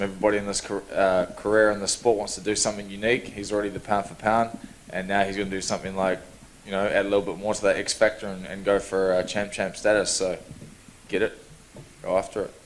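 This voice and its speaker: A young man, answering reporters' questions in an easygoing, relaxed way at a media day. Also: lowish voice, brisk, slight background noise, rough recording. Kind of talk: press scrum answers.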